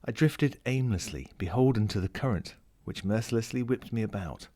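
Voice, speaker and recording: A man's voice: fairly low pitch, animated, normal speed, dark tone. A man voicing a lonely, wry lost ring as he reads a first-person short story in a dramatic, melancholic way. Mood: resigned, reflective. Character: lonely, world-weary, wry sentient ring lost at sea.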